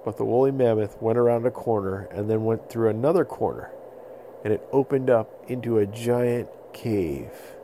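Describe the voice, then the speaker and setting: A man telling an improvised bedtime story to children in simple, explanatory phrases with pauses. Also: lowish voice, dark tone, slight background noise, low-quality recording. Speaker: podcast storyteller.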